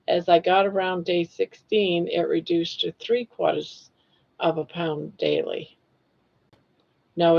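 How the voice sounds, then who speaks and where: A woman's voice: lowish voice, vocal fry, highs cut off. A woman in her sixties hosting a casual live stream, chatting and joking and talking sweetly to her pets. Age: 60s. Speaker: livestream host.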